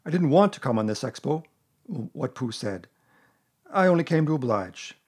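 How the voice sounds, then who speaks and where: A gloomy storybook donkey, performed by a man, forever aggrieved. The voice is mid-pitched and a little husky, mournful and grumbling. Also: expressive, normal speed, a little mellow.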